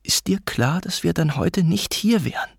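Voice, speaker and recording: An angry, wounded wife acted out by a male narrator in an audiobook. The voice is lifted well above the man's own pitch, urgent and accusing, with a hiss to it. The sound is dry and close. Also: expressive, moderate pace, creaky, fairly bright tone, husky, no room sound, exaggerated voice. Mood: reproachful. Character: angry, hurt mother reproaching her husband in argument. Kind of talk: audiobook character acting.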